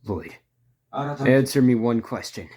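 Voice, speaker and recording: A woman's voice: a bass voice, animated, takes it slowly, mellow, voice pushed down, heavily acted. Woman voicing a bitter, guilt-ridden male war veteran. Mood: stern anger. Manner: intense, emphatic, scolding. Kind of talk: dramatic game fan dub. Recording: music behind the voice.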